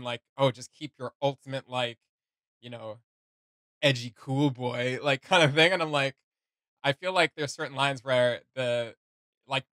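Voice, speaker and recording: Young guy doing a reaction vid, bright forceful voice, kinda searching for words, very dry sound. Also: mid-range voice, no echo. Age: young adult. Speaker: reaction video host. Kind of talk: casual critical commentary. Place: small home studio room.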